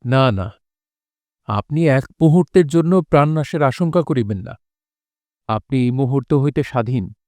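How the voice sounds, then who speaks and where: A man's voice: mid-range voice, animated, normal speed, pauses often, a little mellow, no room sound, close to an everyday voice. A man playing a noble, loyal courtier in an audio drama, speaking formally and earnestly. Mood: earnest, insistent. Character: noble, loyal, humbly pleading male courtier. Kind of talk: Bengali audio drama acting.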